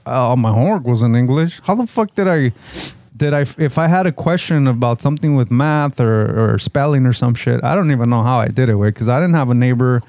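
A man with a mellow, warm voice, recorded dry and close. His first language is Spanish, and he drops Spanish words into relaxed, reflective English, swearing casually as he thinks back. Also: medium pitch, a little fast, no echo. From United States, son of Mexican immigrants.

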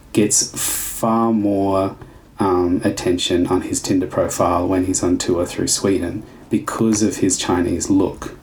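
A man's voice: low voice, a little mellow, a little hiss or hum, low-quality recording. A man from Australia who teaches dance, talking in a reflective casual conversation, building long winding sentences and searching for words.

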